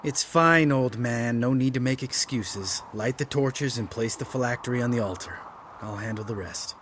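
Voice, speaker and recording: An actor playing a younger monster hunter in a fantasy video game, cynical and bitter, with a blunt, sardonic manner. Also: male voice, medium pitch, normal speed, a bit bright, slight background noise, lightly acted. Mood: teasing.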